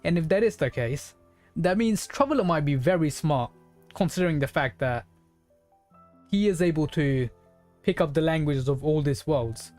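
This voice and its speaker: A game streamer talks casually in a medium-pitched voice while playing. The delivery is musing and speculative, working through ideas aloud, with game music in the background. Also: lively intonation, normal speed, darkish tone. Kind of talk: casual live gaming commentary.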